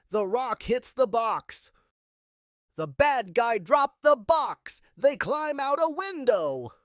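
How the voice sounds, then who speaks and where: A woman with a notably high voice narrates a children's picture book. She reads slowly in short, expressive phrases as a storyteller, with a dry, close sound. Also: dry recording. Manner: simple, expressive read-aloud delivery. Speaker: read-aloud storyteller.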